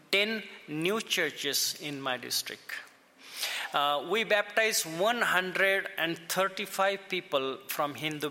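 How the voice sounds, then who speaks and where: Indian-accented male pastor, slow and halting, bright tone, echoey hall. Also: medium pitch, creaky. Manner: slow and halting, with many fillers and restarts. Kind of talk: sermon. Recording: echoey hall, faint ambience.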